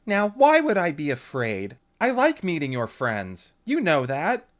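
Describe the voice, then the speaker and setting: A man narrating an audiobook voices a cheerful, oblivious mother in a high, sing-song tone. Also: wildly swooping pitch, unhurried. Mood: breezy. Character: cheerful motherly human woman, falsely calm.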